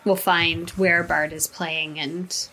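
A woman player telling her character's backstory at a very unhurried pace. Her voice is bright and a bit low, and she pauses often with fillers. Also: little pitch movement, poor audio. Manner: unhurried, hesitant, many ums. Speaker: tabletop game player. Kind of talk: casual in-game backstory telling.

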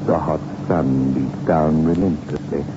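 A man playing a sinister, leisurely horror host on 1960s radio, ominous, theatrical and drawn-out in delivery. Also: a bass voice, steady tone, very noisy. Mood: grave, ominous. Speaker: horror show host and narrator. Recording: muffled old radio recording, loud music behind.

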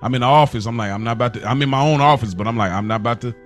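A man's voice: mid-range voice, racing, soft background music. A man with an American accent, telling things informally as a podcast co-host, with plenty of slang. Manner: informal, slangy, frequent "bro" and "like". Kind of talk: casual conversational chat.

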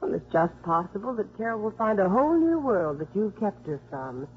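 An actress playing a composed, firm female official in a 1950s radio drama, speaking in a measured, pointed, well-spoken way. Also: medium pitch, very animated. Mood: firm, reproving. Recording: muffled vintage 1950s radio with faint music.

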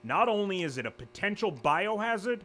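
An actor playing a smooth male corporate official who speaks with false politeness and quiet threat. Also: high voice, big pitch swings, moderate pace, slight background noise, exaggerated voice. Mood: smooth menace. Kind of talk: audio drama acting.

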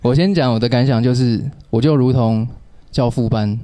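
A man's voice: mid-range voice, moderate pace, mellow. A man hosting a radio show in a casual, joking chat with a co-host, with a playful mock-grand manner.

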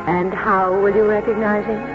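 A woman's voice: mid-range voice, level tone, normal speed, natural voice. An actress in a 1940s radio drama playing a fond, fretful older mother of a grown daughter. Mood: fond, fretting. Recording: tinny 1940s radio recording with music.

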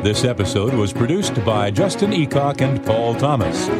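Lively male podcast announcer over guitar music. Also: medium pitch, moderate pace. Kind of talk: scripted credits announcement.

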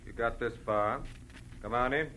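An American actor playing a surly, suspicious club-owning racketeer in a 1940s radio drama, curt and sarcastic. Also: male voice, mid-range voice, moderate pace, pushed and bright, natural voice. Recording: noisy vintage radio recording.

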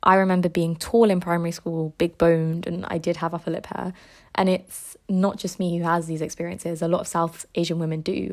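A woman's voice: lowish voice, a little mellow. A young woman hosting a podcast, reading a scripted personal essay in an earnest tone. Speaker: podcast host.

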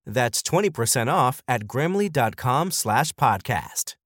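A man's voice: medium pitch, very animated, a little slow, a bit bright, no echo, studio quality. A man reading a scripted advertisement as an announcer. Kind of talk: scripted ad narration.

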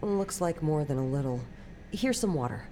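An actress playing a calm female therapist who questions gently in a measured, clinical way, in a naturalistic audio drama. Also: deep voice, a little hiss or hum. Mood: calm, probing.

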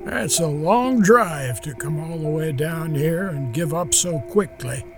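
A man's voice: a little high, animated, measured pace, music in the background. An actor playing a blunt, suspicious small-town man with a needling manner, in audio drama dialogue.

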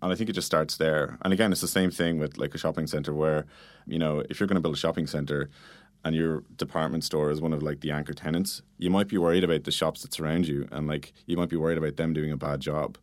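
A man's voice: a bass voice, fairly even intonation, quick pace, no echo, studio quality. A man being interviewed, explaining things casually and informally with lots of "like" and "you know". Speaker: interview guest, product manager. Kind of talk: casual conversational interview answers.

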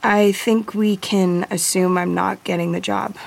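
A woman's voice: medium pitch, steady tone, a little slow, vocal fry. A woman voicing an anxious, blunt woman scientist and wife in an audiobook, talking casually. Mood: wry, defiant. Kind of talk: audiobook character dialogue.

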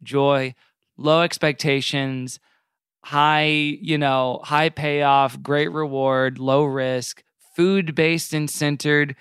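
A man's voice: a little high, flat delivery, extremely slow, a bit bright, clear, resonant tone, no echo, studio quality. A man hosting a podcast in casual comedic chat, with a jokey, mock-earnest way of talking.

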